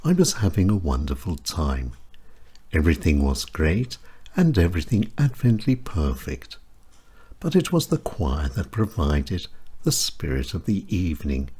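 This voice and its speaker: A man reading his own short stories aloud as a radio presenter, with a measured and expressive delivery. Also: husky. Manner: measured, expressive delivery with wide swings in pitch. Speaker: storyteller and radio show presenter. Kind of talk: scripted short-story reading.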